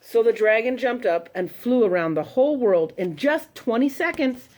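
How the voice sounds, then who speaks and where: A woman telling a children's story to a congregation, expressive and animated. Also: fairly high pitch, normal speed. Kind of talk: reading a children's story aloud.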